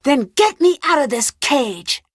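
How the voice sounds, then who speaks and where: A woman playing a self-possessed young female detective in a video game, speaking in a composed, clearly enunciated way. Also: high voice, sing-song, unhurried, dry recording, studio quality. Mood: urgent.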